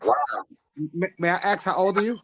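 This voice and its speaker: A man's voice: sits high, expressive, brisk, a bit bright, no room sound, dull audio. A man from New York hosting a casual live-stream podcast, rambling and interrupting himself, with frequent laughs.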